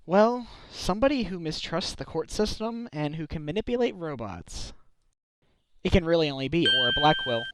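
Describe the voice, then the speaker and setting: Man acting an earnest, expressive defense lawyer. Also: sits high, normal speed, exaggerated voice, poor audio. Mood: serious. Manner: earnest, conversational. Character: earnest, weary but determined male defense lawyer. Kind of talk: scripted anime-game fan dub acting. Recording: prominent music behind the voice.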